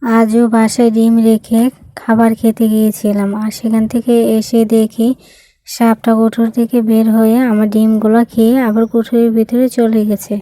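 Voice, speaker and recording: A sorrowful mother bird from a cartoon fable as played by a woman. The voice is slightly high and soft-edged, plaintive yet kept on a very flat line. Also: moderate pace, no room sound, lightly acted, low-quality recording. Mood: distressed, plaintive. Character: grieving mother bird robbed of her eggs.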